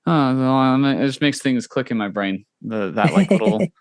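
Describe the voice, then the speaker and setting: A man's voice: medium pitch, very animated, normal speed, no echo. A young man hosts a casual podcast chat in a mid-range voice. He talks loosely, with lots of "like" and "you know", and keeps agreeing and encouraging. The sound is dry and close, with no room around it. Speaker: podcast host.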